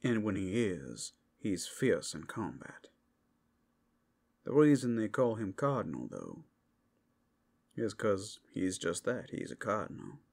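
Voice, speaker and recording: Flirtatious male werewolf, ASMR roleplay, male actor. Teasing, gravelly, swooping in pitch. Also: big pitch swings, slow, long pauses, darkish tone, full character voice. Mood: casual, cautioning.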